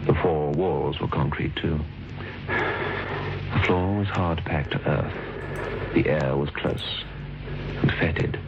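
An actor playing the ominous narrator of a suspense radio drama, telling the story in a dramatic, deliberate way. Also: male voice, rumbling low, fairly even intonation, very noisy, exaggerated voice. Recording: dull old radio recording with music.

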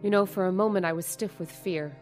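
A woman's voice: lowish voice, a little fast, a little mellow, faint music behind, close to an everyday voice. A woman playing a timid middle-aged landlady who also narrates, with a hesitant, stammering manner. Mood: reflective narration. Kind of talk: old-time radio drama acting and narration.

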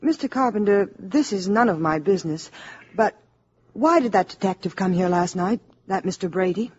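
An actress playing a polite, uneasy, gently firm mother in 1950s radio drama style. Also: mid-range voice, normal speed, husky, natural voice. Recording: dull 1950s radio recording.

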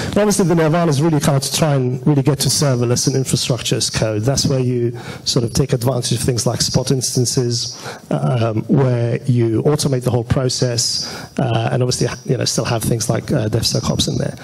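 A man's voice: medium pitch, gravelly, a little mellow, breathy edge, rough recording. A man giving an informal technical presentation as a conference speaker, talking in a conversational, hesitant way. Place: auditorium stage at a meetup.

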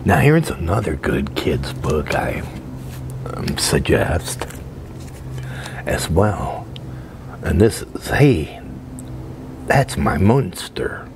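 Very low, whispery man in his sixties. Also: big pitch swings, vocal fry. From United States. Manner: halting, rambling, repeats soft affirmations. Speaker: ASMR performer playing a librarian. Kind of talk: ASMR roleplay, soft whispering. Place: outdoors at a metal picnic table under a shelter. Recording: noisy outdoor recording with traffic-like hum.

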